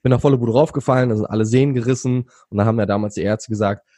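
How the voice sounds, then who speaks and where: A man's voice: mid-range voice, fairly even intonation, racing, mellow, dry recording. A young man from Berlin, recounting his youth as an interview guest in a rambling way, with many fillers and restarts. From Berlin, Germany. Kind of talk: relaxed conversational storytelling.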